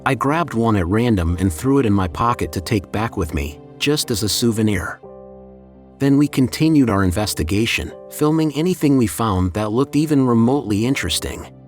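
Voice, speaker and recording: A man narrating a scripted first-person horror story in a measured, deliberate way, as a storyteller. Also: medium pitch, animated. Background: background music.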